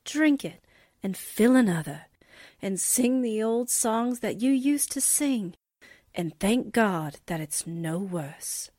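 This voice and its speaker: A woman's voice: mid-range voice, animated, takes it slowly, mellow. A woman presenting for a radio drama cast in a scripted, read-aloud style. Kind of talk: scripted holiday greeting and essay reading.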